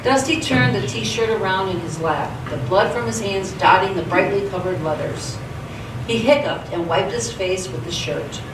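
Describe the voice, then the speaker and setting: A woman's voice: medium pitch, normal speed. Echoey female radio theatre narrator. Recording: echoey theatre, distant mic, clear background noise.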